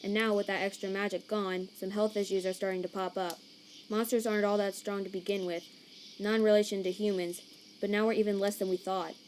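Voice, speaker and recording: A woman's voice: mid-range voice, level tone, a little fast, darkish tone, slight background noise, natural voice. A woman voicing a laid-back male skeleton monster in a fan comic dub, casual and wry as he shrugs things off. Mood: explanatory. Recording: chirping crickets and night ambience behind.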